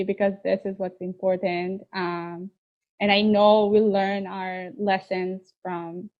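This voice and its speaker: A woman with an Israeli accent, her first language Hebrew, hosting a podcast over a video call and speaking in an effusive way with hesitant restarts. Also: mid-range voice. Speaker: podcast host. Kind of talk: casual interview chat. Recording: dull lo-fi call audio.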